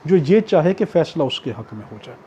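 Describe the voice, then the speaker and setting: A man's voice: on the high side, very animated, brisk, mellow, a little hiss or hum. A man with a Pakistani accent giving an explanatory law lecture for exam students, repeating key points and often checking that listeners follow. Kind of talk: exam-prep law lecture with worked examples. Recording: dull lo-fi audio.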